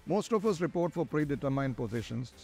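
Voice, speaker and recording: A man's voice: fairly high pitch, animated, brisk, creaky, a little mellow. A middle-aged man with an Indian accent, giving prepared interview answers as an air traffic controller. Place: large glass-walled airport tower room. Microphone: lapel. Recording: music under the voice. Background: background music.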